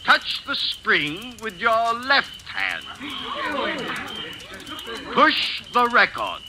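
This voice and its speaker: A defiant professor from an old radio mystery. His voice is high and swoops widely, with slow, formal, showman-like phrasing. The old recording is clearly noisy. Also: wildly swooping pitch, heavily acted. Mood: grandly theatrical. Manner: theatrical, deliberate, formal. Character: theatrical, defiant older male professor staging an illusion.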